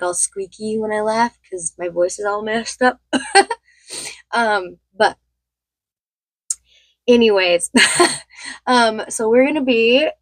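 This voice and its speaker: A woman in her thirties with a slightly high voice that sounds hoarse from a cold. She chats in a loose, rambling way and laughs often as she gets ready. The close recording is dry, with no room sound. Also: no room sound. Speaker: beauty vlogger. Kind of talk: casual get-ready-with-me chat.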